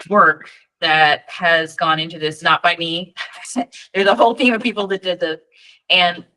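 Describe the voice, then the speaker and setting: A woman's voice: lowish voice, pushed and bright, rough recording. A woman presenting data tools at an online work meeting, talking in a chatty, informal way with frequent ums and friendly asides. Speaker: data team presenter at a state EMS registry meeting. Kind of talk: virtual meeting presentation with screen share.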